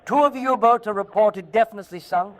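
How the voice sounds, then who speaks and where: A man's voice: high-pitched, quick pace, muffled recording, lightly acted. A man playing a formal, official London newsreader in a 1940s radio drama, on a noisy old recording. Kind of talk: 1940s radio news acting.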